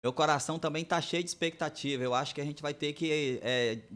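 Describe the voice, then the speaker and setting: Forties male pastor, guest on a church podcast, tells his testimony in a relaxed, chatty way. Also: on the high side, fairly quick. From Bahia, Brazil. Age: 40s.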